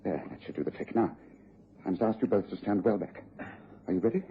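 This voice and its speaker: An actor playing a brisk, self-assured English gentleman detective in a radio drama, with precise, authoritative delivery. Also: on the low side, close to an everyday voice. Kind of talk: BBC radio drama acting. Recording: dull, noisy vintage radio recording.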